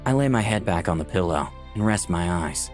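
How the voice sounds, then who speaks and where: A man's voice: low voice, expressive, fairly quick, a little mellow. A man playing an eager young tech fan who earnestly narrates his own story in a visual novel. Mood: pensive. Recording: music behind the voice.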